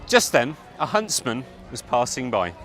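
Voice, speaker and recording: A man narrating a fairytale live to children, theatrical and deliberate, with dramatic pauses. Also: mid-range voice, very animated, a bit bright, soft background music, some chatter behind. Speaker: storyteller narrator. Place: outdoor public event.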